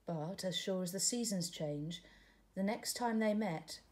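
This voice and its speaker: A woman, a teacher reading a picture book aloud at home with expressive, gentle storytelling. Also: medium pitch, unhurried. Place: living room at home, indoors.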